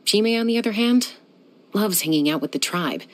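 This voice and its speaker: A woman's voice: medium pitch, lively intonation, moderate pace, natural voice. A young woman voicing a self-assured, dryly teasing gunslinger who flies and settles disputes, in video game voice lines. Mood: cool. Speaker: game character.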